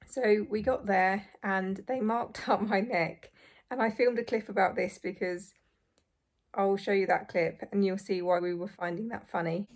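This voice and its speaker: A young woman in her twenties, hoarse and croaky after surgery, chatting casually to camera from a small bedroom. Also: mid-range voice, steady tone, a little slow. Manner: chatty, relieved, frequent light laughs. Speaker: vlogger. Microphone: phone or camera close in front.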